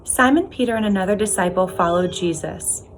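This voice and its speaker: A woman's voice: mid-range voice, brisk, slight background noise. A woman reading a Bible story aloud as a lay reader. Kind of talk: scripture reading.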